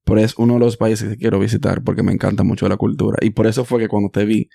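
A man from the Dominican Republic hosting a podcast interview, calmly affirming his guest and finishing her thoughts. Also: lowish voice, quick pace, gravelly, no room sound, clean studio sound. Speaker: podcast host. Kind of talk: casual interview conversation.

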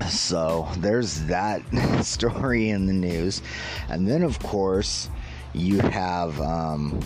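A man hosting a casual solo podcast about news stories, with a slightly raspy voice and a halting, amused way of talking. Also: sits low. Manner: halting, pausing mid-sentence; amused, chuckling as he talks. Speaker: podcast host.

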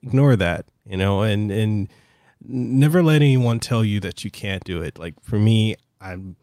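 A man hosts a podcast interview in a fairly low voice, recorded dry with little room sound. He talks earnestly and haltingly and fills his pauses with "you know" as he asks his questions and speaks from the heart. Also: mellow, no room sound. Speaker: podcast host and games journalist. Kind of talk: casual interview hosting.

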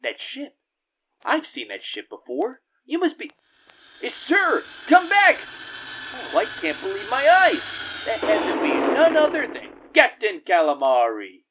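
A man's voice: very high-pitched, huge pitch swings, measured pace, dull audio, noisy background, heavily acted. A man playing a humble, grateful refugee settler in a space audio drama, earnest and given to awed exclamations. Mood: amazed.

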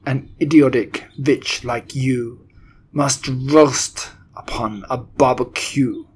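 A man voicing a cruel, furious female Grand High Witch in a children's audiobook, with an imperious, drawn-out, menacing delivery. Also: close to an everyday voice. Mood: slow menace. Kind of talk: dramatic children's audiobook character voice.

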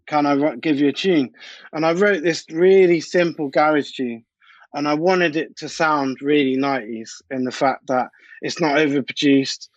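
A man's voice: fairly high pitch, fairly even intonation, normal speed, no room sound. Male interview guest, informal slangy chat, close and dry, slightly muffled lo-fi sound. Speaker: DJ and music producer, interview guest.

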